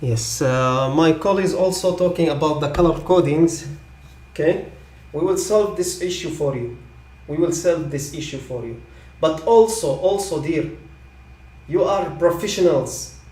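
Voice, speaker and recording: A man who is a non-native English speaker giving live technical training, repeating his points reassuringly and often checking with a quick okay. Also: a little high, darkish tone. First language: not English. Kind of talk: live online product training. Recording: echoey room, distant microphone.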